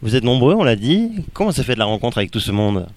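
A man's voice: medium pitch, sing-song, fast, darkish tone. A man interviewing a guest for a podcast in casual, conversational style. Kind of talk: casual, upbeat interview chat.

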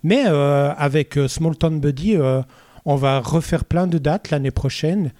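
A man's voice: a little high, measured pace, mellow, no room sound, studio quality. A middle-aged man with a Vaud Swiss French accent, a musician chatting in an interview with many hesitant fillers. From Switzerland. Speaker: musician guest. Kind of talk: casual interview chat.

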